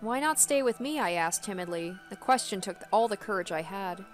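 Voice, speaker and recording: A woman with a medium-pitched voice gives a dramatic reading of a first-person story. Faint music runs behind her narration. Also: lively intonation, fairly quick. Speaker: gaming video host.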